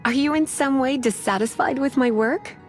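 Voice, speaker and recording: A woman voicing a languid, teasing adult librarian mage in a video game, speaking in a slow, drawling way. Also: sits high, close to an everyday voice. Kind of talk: game voice acting. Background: faint game music.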